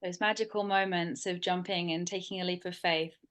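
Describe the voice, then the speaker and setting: A woman hosting and moderating an online panel over a video call, speaking in a soft, measured way with frequent ums. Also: on the low side, fairly even intonation.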